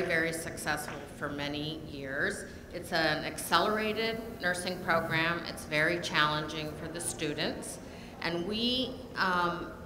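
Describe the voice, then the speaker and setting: An older woman, a nursing program director, giving a measured panel talk with frequent pauses. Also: deep voice. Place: lecture hall. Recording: echoey hall, audible room noise.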